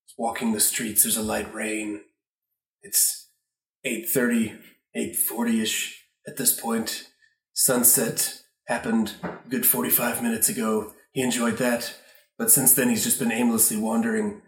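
A man narrating an improvised radio play in a rambling, halting way, often trailing off. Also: mid-range voice, little pitch movement, far from the mic. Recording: echoey room, off the mic.

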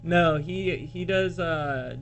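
A man's voice: fairly high pitch, slow, over music. A man from California who spent childhood in Australia, talking as a podcast guest and explaining technical work in an informal, hesitant way. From born in California, grew up partly in Australia. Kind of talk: casual interview, explaining work.

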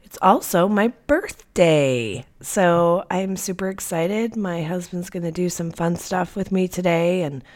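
A woman's voice: fairly low pitch, darkish tone. A woman hosting a solo podcast in a casual, personal, confiding way, her voice congested from a cold. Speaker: podcast host.

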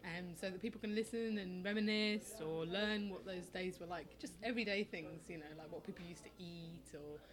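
A young woman from England with an English accent, answering interview questions in a relaxed, hesitant way with many ums and restarts. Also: fairly low pitch, normal speed, hardly any pauses. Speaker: interview guest, oral history project maker. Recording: crowd noise in background.

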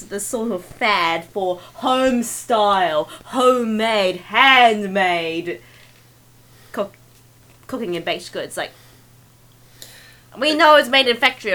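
A woman with a New Zealand accent co-hosting a podcast, playful and teasing with deadpan jokes. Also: on the high side. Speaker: podcast co-host. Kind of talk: casual podcast banter.

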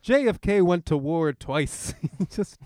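Guy doing podcast banter, slightly high voice, blunt and irreverent, dry close mic. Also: lively intonation, unhurried, darkish tone, no room sound. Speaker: podcast co-host. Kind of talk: casual opinionated banter.

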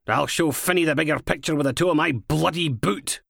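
Man putting on a brash, sweary Scottish policewoman, pitched up. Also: brisk, creaky, a bit bright, dry recording, clean studio sound, full character voice. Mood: indignant. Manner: sweary, sardonic, uses Scottish slang. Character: brash, foul-mouthed, cynical female police inspector, scornful and impatient.

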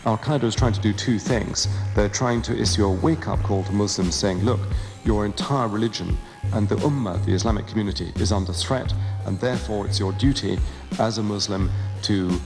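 Low, gravelly man in long reverb. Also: a little fast, a little mellow. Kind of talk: analytical explanation. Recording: reverberant hall sound. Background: faint music.

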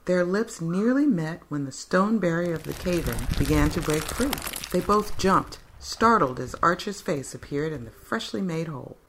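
A woman's voice: lowish voice, animated, moderate pace, few pauses, a bit bright, slight background noise, rough recording. A woman narrator giving a scripted dramatic reading of fan fiction.

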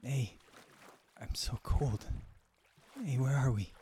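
An actor playing a badly wounded young husband who talks in a weak, halting, pleading way. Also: male voice, medium pitch, mellow, big-room sound, a little hiss or hum, lightly acted. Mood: fading.

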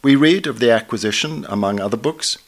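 A man reading a scripted book review aloud in a formal, measured, scholarly manner. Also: medium pitch.

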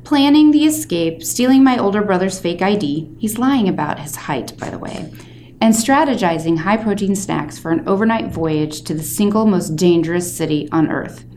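A woman's voice: medium pitch, lively intonation, normal speed, dark tone, natural voice. A woman reading as a short, wisecracking eighth-grade boy, chatty, theatrical and self-deprecating, in a storybook read-aloud. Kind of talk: expressive first-person audiobook-style reading. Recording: faint background noise.

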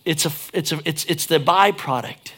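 A man's voice: a little high, moderate pace, fairly bright tone, slightly rough. A pastor preaching a sermon, chatting and joking, then driving his points home with emphatic peaks.

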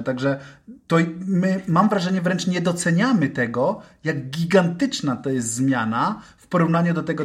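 A man giving unscripted football analysis on a panel, emphatic, repeating words and hesitating as he builds his point. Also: fairly high pitch. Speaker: football pundit on a panel show. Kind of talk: unscripted sports discussion.

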